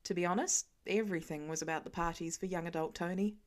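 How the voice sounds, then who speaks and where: A woman narrating a fan fiction audiobook in an expressive storytelling manner. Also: quick pace. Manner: expressive storytelling, varied pitch. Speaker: audiobook reader.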